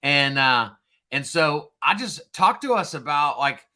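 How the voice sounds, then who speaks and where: A man hosting an interview, praising and introducing his guest before asking questions, on a boom mic. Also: sing-song, a little slow. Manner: warmly admiring interviewer. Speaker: host. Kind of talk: casual interview. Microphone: boom microphone.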